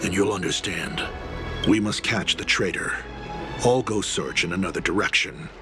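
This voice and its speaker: An actor playing a falsely courteous, menacing cult agent in a video game, theatrical and measured. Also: male voice, deep voice, close to an everyday voice. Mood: commanding. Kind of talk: English game voice acting. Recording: game music behind.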